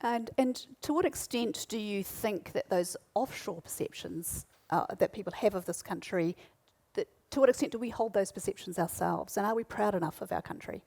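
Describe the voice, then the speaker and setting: A woman's voice: medium pitch, normal speed, gravelly, darkish tone. A woman moderating a business panel in an encouraging way.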